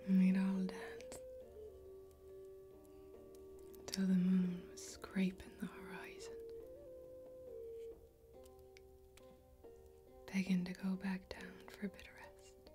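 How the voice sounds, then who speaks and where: A young woman playing a gentle, wistful warrior in an ASMR fantasy role-play, speaking in a slow, breathy, hushed and intimate way with long pauses. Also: mid-range voice, level tone, soft background music, lightly acted. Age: young adult. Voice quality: breathy, whispery.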